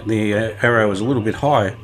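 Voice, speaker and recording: A man with a fairly low voice talks through a hands-on repair job. He is casual and unscripted, thinking aloud as he works his way through each step. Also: fast talker, crowd noise. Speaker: host of radio repair video.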